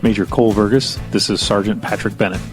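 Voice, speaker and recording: An easygoing, sociable gunnery sergeant, acted by a man in an audio drama. His voice is low and assured, with a friendly manner. Music plays clearly behind him. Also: steady tone, quick pace, lightly acted.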